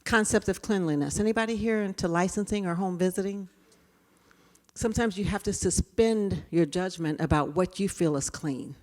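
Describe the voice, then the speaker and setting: Quiet-voiced woman in her 50s, lowish pitch, chatty storytelling workshop talk. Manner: storytelling, conversational. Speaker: diversity trainer.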